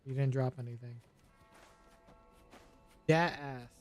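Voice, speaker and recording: A man's voice: medium pitch, steady tone, slow. A man chatting casually as he streams a video game, with a grumbling, profane way of talking. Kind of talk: casual gameplay chat. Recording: game music behind the voice. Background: video game music.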